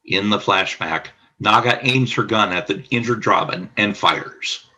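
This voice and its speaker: A man's voice: on the low side, unhurried, pushed and bright, low-quality recording. A man reading scripted narration for a radio play, describing the action in the present tense.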